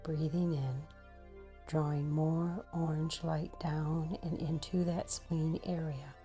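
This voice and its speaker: A woman leading a slow guided meditation in a breathy, husky voice that often nears a whisper, pausing between short phrases. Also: deep voice, steady tone, mellow, soft background music.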